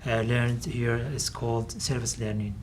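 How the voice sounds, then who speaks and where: A man's voice: medium pitch, completely flat delivery, moderate pace. A man from Iraq, a visiting lecturer, speaking thoughtful non-native English and hedging often with "let's say". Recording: background noise.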